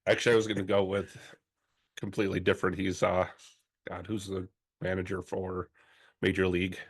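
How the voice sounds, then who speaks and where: 50 yr old guy, real low voice, easygoing, just chiming in on a podcast. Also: monotone, a little fast. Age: 50s. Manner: easygoing, chiming in with short agreeing remarks. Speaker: podcast co-host. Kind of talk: casual podcast chat.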